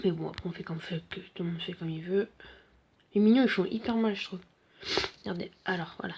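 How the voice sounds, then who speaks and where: A man's voice: sits high, brisk, dull audio, a little hiss or hum. A man with a bad head cold giving casual, mumbling commentary over gameplay, full of slang and fillers.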